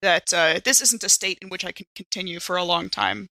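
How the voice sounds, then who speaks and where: A woman's voice: lowish voice, steady tone, brisk, gravelly, bright, forceful tone, no room sound, rough recording. A woman playing a tabletop game on a podcast, chatting casually and playfully about her character.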